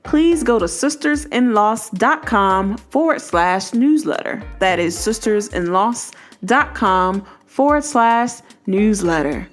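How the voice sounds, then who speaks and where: A woman reading a scripted podcast outro in a clear, measured way. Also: mid-range voice, expressive. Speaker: podcast host. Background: music.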